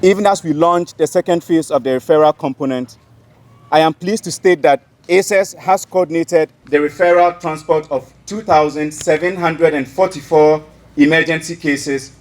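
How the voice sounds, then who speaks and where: A man's voice: a little high, moderate pace. A man reading a prepared formal speech as an organisation's representative. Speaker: NGO country representative.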